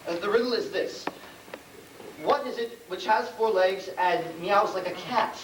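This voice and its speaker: Noisy far-off stage recording, male actor as pompous chancellor, high voice, wordy, ceremonious. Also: measured pace, reverberant. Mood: officious. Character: pompous, formal royal chancellor. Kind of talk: amateur stage comedy acting.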